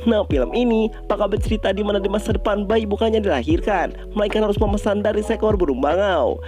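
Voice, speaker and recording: A man's voice: high-pitched, fast talker, music in the background. A man narrates a film recap in a casual, scripted style, addressing viewers directly in colloquial speech. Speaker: movie recap host.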